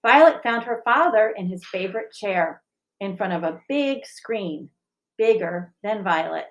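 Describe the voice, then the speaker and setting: A woman reading and chatting for a school audience in an expressive, measured storybook style. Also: medium pitch, low-quality recording. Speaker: school staff member reading aloud. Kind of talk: children's storybook read-aloud and informal discussion.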